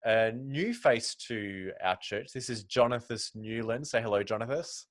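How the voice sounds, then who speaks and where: A man's voice: mid-range voice, measured pace. A male host interviewing a guest, leading with questions and small jokes. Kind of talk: casual interview chat.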